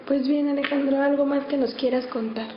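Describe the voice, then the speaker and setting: Higher-voiced woman interviewer. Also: fairly even intonation, a little fast, over music. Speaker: interviewer and presenter. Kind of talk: interview questions and scripted introductions. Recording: slightly muffled, faint background noise.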